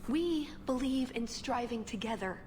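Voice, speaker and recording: A woman's voice: fairly high pitch, animated, unhurried, darkish tone. A woman acting in a video game as an earnest, idealistic young believer who speaks sincerely and persuasively. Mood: earnest, reflective. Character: earnest idealistic young woman, devoted member of a strict order. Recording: game audio, long reverb, music underneath. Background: music.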